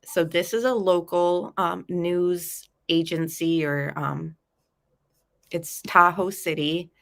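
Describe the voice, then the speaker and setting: A woman with a low voice, stuffed up and nasal from a lingering cold. She talks in a loose, chatty way, calling her viewers guys. She walks them through a true crime case. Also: fairly even intonation, takes it slowly, gravelly. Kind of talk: casual live chat commentary.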